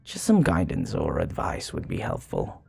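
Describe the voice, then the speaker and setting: A man's voice: extremely low pitch, huge pitch swings, normal speed, vocal fry, mellow, slightly rough, faint music behind, pitched below the performer's own voice, heavily acted. A man playing a charming, sincere vampire bartender who flirts tenderly and hesitantly in an intimate ASMR roleplay. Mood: hopeful, grateful.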